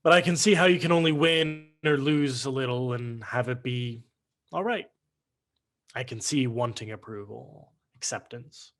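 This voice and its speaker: A man playing a reflective son who recites his journal in a staged play reading, measured and deliberate, over a video call. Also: medium pitch, a bit bright, natural voice. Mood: earnest, musing.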